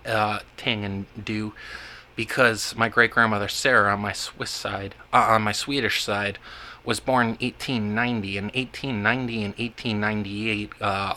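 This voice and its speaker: Man, vlogger, rambling monologue, lowish pitch, bright tense tone, halting restarts. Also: slight background noise. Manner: meandering, halting, many restarts and repeated words.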